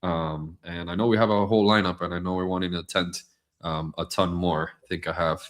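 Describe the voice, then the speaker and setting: A man with a very deep voice co-hosting a business podcast. He is hoarse, close to losing his voice, and rambles through his points with many fillers and restarts. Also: steady tone, a little fast. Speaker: podcast co-host. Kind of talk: casual business podcast chat. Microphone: studio mic.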